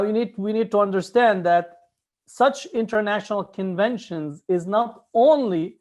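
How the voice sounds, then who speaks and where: A man speaking formally and emphatically as a guest speaker at a press conference, delivering prepared advocacy remarks. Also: sits high.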